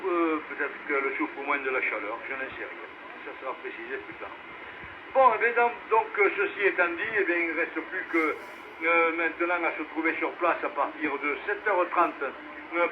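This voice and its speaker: Man running a noisy radio net. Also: fairly high pitch. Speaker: amateur radio net controller. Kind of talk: casual radio net hosting. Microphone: two-way radio transmission. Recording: muffled, noisy VHF amateur radio relay.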